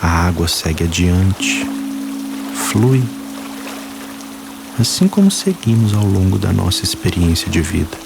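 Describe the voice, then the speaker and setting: A man guiding a meditation, speaking calmly and slowly in short phrases with long pauses. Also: low voice, vocal fry. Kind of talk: guided meditation. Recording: voice-over with music and water sounds. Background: soft music, rain and running water.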